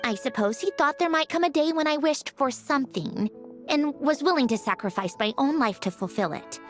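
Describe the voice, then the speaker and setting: A woman voicing a young female automaton in a video game, speaking in a measured, explanatory, slightly sardonic way. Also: sits high, animated. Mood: reflective. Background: game soundtrack music.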